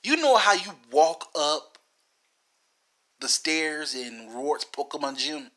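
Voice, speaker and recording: A man's voice: fairly high pitch, lively intonation, slow pace, a bit bright. A man giving rambling video game commentary in a theatrical manner, repeating phrases and talking straight at the viewers.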